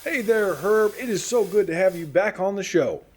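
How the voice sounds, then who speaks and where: Man playing an animated, upbeat radio host. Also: high-pitched, normal speed, a little hiss or hum. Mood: upbeat, announcing. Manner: animated, earnest, encouraging. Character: cheerful, upbeat male radio host of a post-apocalyptic show. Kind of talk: scripted comedic radio show hosting.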